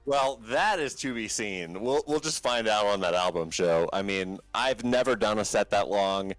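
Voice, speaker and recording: A man with a low talking voice, a band's vocalist as a podcast guest, chatting casually and rambling with many fillers and restarts. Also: normal speed, a bit bright, no room sound, soft background music, poor audio.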